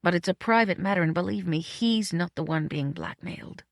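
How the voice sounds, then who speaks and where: A smooth, regular-guy studio fixer from a novel , acted out by a woman narrator. He talks in a low, reassuring, slangy way with wry heartiness, in a very dry recording. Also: fairly quick, no room sound. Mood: confiding.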